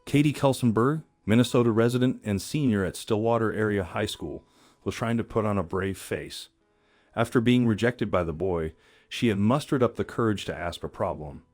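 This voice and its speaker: A man's voice: fairly low pitch, moderate pace, darkish tone, dry recording, soft background music. A man reading scripted story narration in a dramatic storyteller manner.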